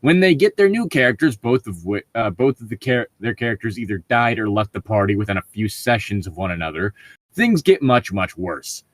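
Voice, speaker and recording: A man with a fairly low voice and a very dry, close sound. He chats and reads stories in a casual, halting way, often reworking his phrases as he goes. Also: big pitch swings, no echo. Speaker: co-host reading online stories. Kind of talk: casual chat and story reading.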